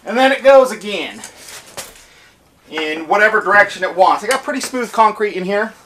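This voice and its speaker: A man's voice: sits high, expressive, normal speed, pushed and bright, a little hiss or hum. A man, casually reviewing tools in his garage workshop, talking practically and thinking aloud with fillers. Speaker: garage DIY channel host. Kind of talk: casual hands-on product review. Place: cluttered home garage workshop.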